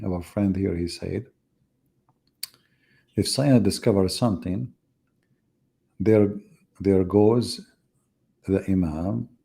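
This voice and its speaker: Low, gravelly, sarcastic man. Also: mellow. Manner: sarcastic, teasing, rhetorical questions. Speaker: host of live religious debate. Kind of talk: mocking casual live commentary.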